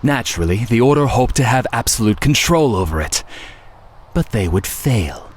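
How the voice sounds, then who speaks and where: An actor playing a scheming, taunting villain who craves chaos, speaking theatrically and condescendingly in a video game. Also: male voice, medium pitch, very animated, normal speed. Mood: smug explaining. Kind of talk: dramatic video game voice acting.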